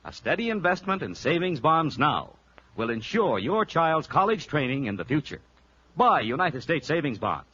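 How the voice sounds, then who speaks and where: A man's voice: a little high, big pitch swings, normal speed, bright, forceful tone. A man reading scripted 1940s radio announcements in a polished, persuasive salesman's manner. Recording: muffled, faintly noisy vintage radio recording.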